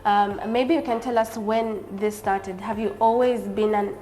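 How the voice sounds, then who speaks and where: A woman from Zambia hosting an interview, asking friendly questions with soft fillers. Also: mid-range voice, fairly even intonation, a little slow. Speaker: interview host.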